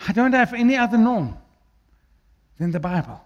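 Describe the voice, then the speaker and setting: A man from South Africa with an Afrikaans accent preaching a sermon, swinging between loud declaiming and quiet, low asides. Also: expressive, normal speed, lo-fi sound.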